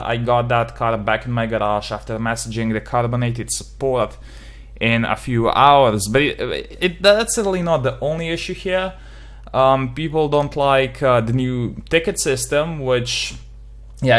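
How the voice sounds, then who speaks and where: A man's voice: medium pitch, fairly bright tone. A young man from Slovenia with a Slovenian accent, giving his opinion in a casual gaming vlog, rambling with lots of fillers and swearing freely. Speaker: gaming video creator.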